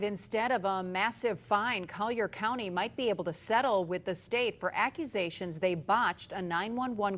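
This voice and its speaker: A woman's voice: on the low side, a bit bright. A woman anchoring a TV newscast, reading scripted news in a studio.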